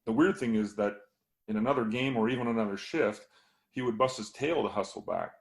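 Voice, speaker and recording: A man talking through a casual hockey podcast analysis as a scout, a bit hoarse from losing his voice, with many fillers. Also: mid-range voice, a little fast.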